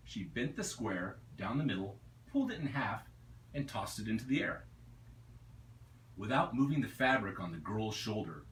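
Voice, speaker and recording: A man reading his own novel aloud as a storyteller, in a deliberate, expressive narrating style. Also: lowish voice. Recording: roomy echo, distant mic, faint noise.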